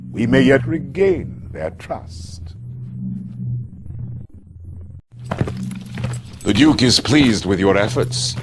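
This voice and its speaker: An actor playing a shrewd, courteous adviser to a noble house, briefing in a measured, theatrical way for a game cutscene. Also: male voice, medium pitch, lively intonation. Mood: calm, formal. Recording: long reverb, loud music behind.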